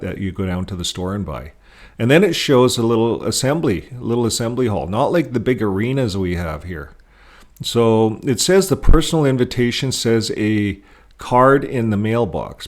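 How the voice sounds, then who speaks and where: A man giving casual commentary as he reads from web pages, rambling and thinking aloud. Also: lowish voice. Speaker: online commentator.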